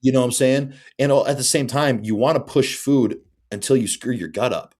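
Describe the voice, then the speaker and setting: A man's voice: mid-range voice, a little fast, a little mellow. A man in his twenties, a bodybuilding coach, chatting casually on a podcast and often asking "you know what I'm saying". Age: 20s.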